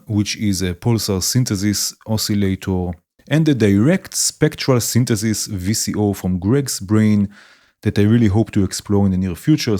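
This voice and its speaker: A man hosting a modular synth tutorial, explaining modules in a relaxed way and often saying "right" and "let's say". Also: on the low side. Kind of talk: demonstrating modules while talking. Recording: music playing behind the voice.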